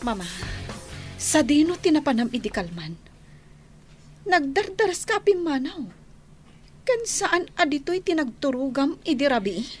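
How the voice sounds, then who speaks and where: A woman's voice: sits high, very animated, a little slow, pauses often, faint music behind. An actor playing an earnest, suspicious young daughter, a woman with an Ilocano accent and a questioning manner in a radio drama.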